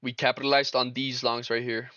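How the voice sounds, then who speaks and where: A man's voice: mid-range voice, little pitch movement, a little fast, sharp tone, no echo, highs cut off. A young man hosting a casual trading live stream, laid-back and slangy, talking to his chat. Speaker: livestream trader and host.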